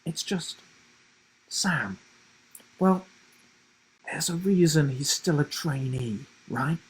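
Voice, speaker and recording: A man's voice: a little high, lively intonation, takes it slowly, slightly rough, heavily acted, low-quality recording. A man voicing a sceptical, teasing young girl in a children's story.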